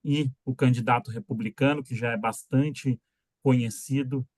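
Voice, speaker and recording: A man moderating an online panel, introducing the guests in a halting way with many filler pauses, on a video call. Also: mid-range voice, steady tone, a little mellow, dry recording, studio quality.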